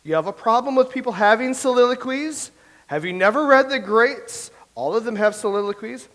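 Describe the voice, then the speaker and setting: High voice, a man acting as a fussy stammering Elizabethan playwright, theatrical and fretful, staged reading. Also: animated, normal speed, fairly bright tone. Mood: indignant. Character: nervous fussy male Elizabethan playwright, self-doubting. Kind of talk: staged reading of a comedy play.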